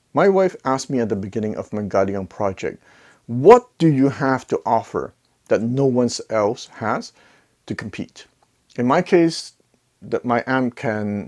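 A man's voice: on the low side, a little mellow. A middle-aged man hosting a casual gear review to camera, conversational with jokey asides and rhetorical questions. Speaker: audio gear reviewer, video host. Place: indoor home listening room. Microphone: clip-on lapel mic.

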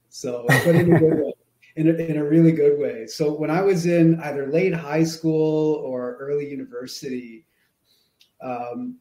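A man's voice: mid-range voice, mellow. Friendly man in an echoey room. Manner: friendly, halting with fillers. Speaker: guest, chiropractor. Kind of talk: casual interview chat. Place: plain room, indoors. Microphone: video call.

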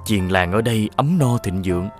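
Man acting a deep, solemn holy healer. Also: normal speed, soft background music, lightly acted. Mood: calm, kindly authority. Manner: solemn, authoritative, formal old-fashioned speech. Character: benevolent wandering holy healer, noble adult man. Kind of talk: animated Vietnamese fairy tale acting.

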